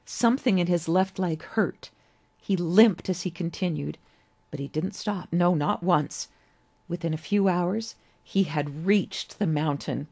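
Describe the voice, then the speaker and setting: A woman with a slightly low voice narrates a piece of fiction. Her reading is expressive and scripted, in the manner of an author presenting her own story. She shapes phrases dramatically and sets them apart with deliberate pauses. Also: vocal fry.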